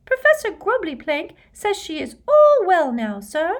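A woman voicing a small, eager male house elf for a storybook, squeaky and deferential, talking like a devoted servant. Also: huge pitch swings, unhurried, full character voice. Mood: eager, adoring. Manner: deferential, speaks of himself in third person.

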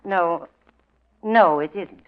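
An actress playing a demanding, suspicious middle-aged aunt in old radio drama style, sharp-tongued and insistent. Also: female voice, fairly low pitch, drawn-out words. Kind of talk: 1940s-50s radio detective drama acting. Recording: muffled vintage radio recording.

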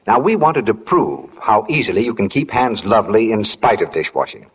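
A man working as a radio announcer, delivering polished 1940s-style introductions and sponsor pitches. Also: sing-song, moderate pace, slightly rough, lo-fi sound. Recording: 1940 radio broadcast, reverberant hall.

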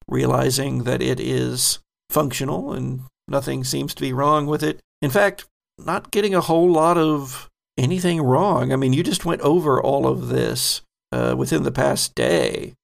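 A man running a tabletop horror game, narrating slowly and deliberately. His voice has a gravelly, creaky edge, and he lets small chuckles slip between descriptions. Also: medium pitch. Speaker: game master.

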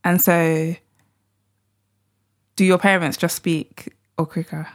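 A woman's voice: on the low side, flat delivery, slow. A woman hosting a podcast, asking her guest questions in a friendly, curious way. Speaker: podcast host.

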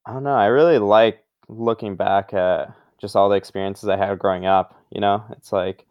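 A man's voice: fairly low pitch, normal speed, clear, resonant tone, no echo, muffled recording. A young man from Brazil, a guest in a casual interview, talking loosely and filling his speech with "like" and "um". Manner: relaxed, loose, leans heavily on "like" and "um".

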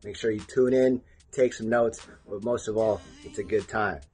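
A man giving a casual recorded shout-out for a podcast. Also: lowish voice, steady tone, normal speed, slightly rough, faint music behind.

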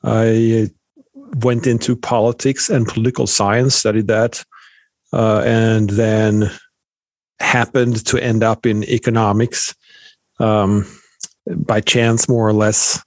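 A man's voice: fairly low pitch, flat delivery, takes it slowly, darkish tone, dry recording. A middle-aged man from Sweden, a professor answering interview questions thoughtfully, with many fillers and restarts. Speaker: economics professor guest.

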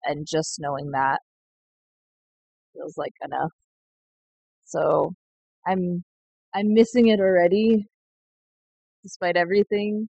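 Young woman talking low and slow, reflective, pretty flat delivery, dry close sound. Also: vocal fry, husky, dry recording, lo-fi sound. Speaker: seed collective member. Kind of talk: recorded personal reflection.

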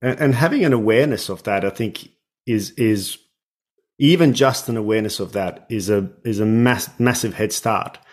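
A man's voice: lowish voice, normal speed. Reflective man hosting a podcast. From Australia. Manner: reflective, builds on the guest's points. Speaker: podcast host. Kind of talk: conversational interview.

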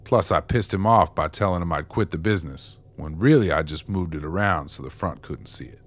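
A man's voice: sits low, brisk. An actor playing a remorseful, ragged old ex-con in a radio drama, world-weary and streetwise as he confesses. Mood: bitter, resigned. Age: older.

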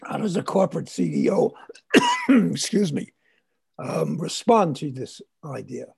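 A man with a husky, gravelly voice hosts a podcast conversation. His pitch sits a little high and moves in lively swings. He asks questions and backs up his guest with short interjections. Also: unhurried. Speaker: podcast host.

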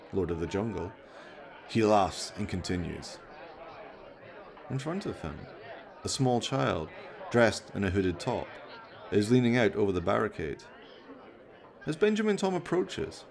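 A man's voice: on the low side, gravelly. A man reading dramatic scripted narration for a radio play.

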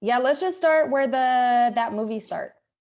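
Female podcast host, slightly high voice, informal delivery, directing her cast. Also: a little slow, darkish tone. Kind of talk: casual, informal show hosting.

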